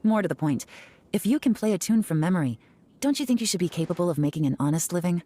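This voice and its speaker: A woman playing a cool, composed investigator in a video game, stern, measured and wry. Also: on the low side, lively intonation, warm tone. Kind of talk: video game character acting.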